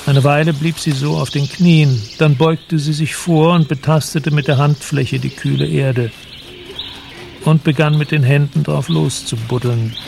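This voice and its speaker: A male narrator reads literary prose for a radio play. His voice is slightly high for a man, with a lot of creak. Birds and crickets are clearly audible behind him. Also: steady tone, unhurried, noisy background.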